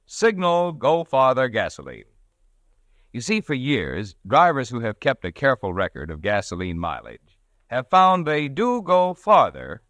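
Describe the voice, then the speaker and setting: A male radio announcer from the 1940s, polished and persuasive as he reads the sponsor's pitch and the show's closing credits. His voice swings up and down very widely, with a middling pitch and a dry, close sound. Faint music sits behind him. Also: a bit bright, no echo. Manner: polished, persuasive sales delivery. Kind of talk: 1940s radio commercial and sign-off.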